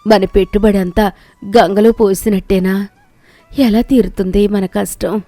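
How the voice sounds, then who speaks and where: A woman's voice: mid-range voice, steady tone, unhurried, mellow, no room sound, soft background music, close to an everyday voice. A woman voicing a doubtful older village mother-in-law in a cartoon tale, fretful and questioning. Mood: worried, complaining.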